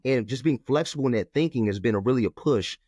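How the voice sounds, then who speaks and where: A man's voice: fast talker, mellow, no echo, clean studio sound. A man, a math educator talking firmly and emphatically in an interview. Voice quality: low voice. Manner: firm, emphatic, repeats "we gotta". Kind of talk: conversational interview.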